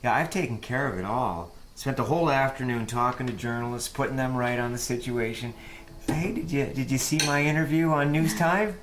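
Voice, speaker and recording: An actor playing a self-satisfied, eager-to-please boss with a Midwestern American accent and a glib, folksy manner in an audio drama. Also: male voice, mid-range voice, brisk, noisy background, natural voice.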